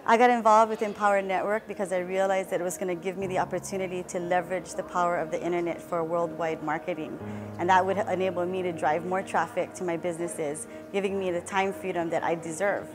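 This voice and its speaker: A woman's voice: on the low side, little pitch movement, quick pace. Upbeat woman with music bed. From Hawaii. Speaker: entrepreneur and singer. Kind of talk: promotional testimonial. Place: studio booth before a logo backdrop.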